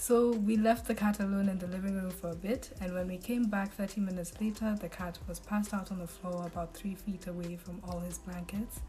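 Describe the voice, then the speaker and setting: A woman's voice: medium pitch, steady tone, brisk, few pauses, dark tone, rough recording. A young woman reading a dating story aloud on a podcast in a casual storytelling way, adding sympathetic asides.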